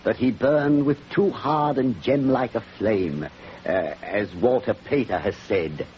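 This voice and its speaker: An actor playing a bookish, sorrowful village vicar with a measured, rhetorical manner in a 1940s radio drama. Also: male voice, mid-range voice, lightly acted. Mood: wistful. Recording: dull, hissy old radio recording.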